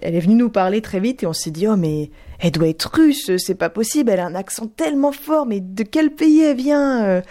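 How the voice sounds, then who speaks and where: A woman telling an amused, lively anecdote in an interview. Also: on the high side, brisk, hardly any pauses. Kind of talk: casual anecdote.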